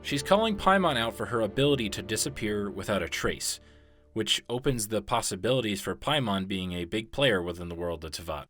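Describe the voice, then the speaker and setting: A man reads scripted game lore narration in a fairly low voice. Music plays clearly behind him throughout. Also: lively intonation, a little fast, a bit bright. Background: background music.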